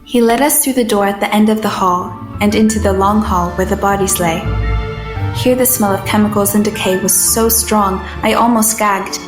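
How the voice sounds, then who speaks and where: A woman acting as an observant, uneasy but determined heroine who narrates an audio drama in a measured, literary manner. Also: mid-range voice, fairly even intonation, reverberant, over music, close to an everyday voice. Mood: measured narration. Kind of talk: first-person scripted narration in an audio drama.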